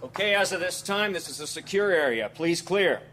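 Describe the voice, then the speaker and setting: An actor playing a firm federal agent in charge, clipped and officially polite but insistent, in an audio drama. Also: male voice, sits high, expressive, moderate pace, noisy background. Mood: firm, officious.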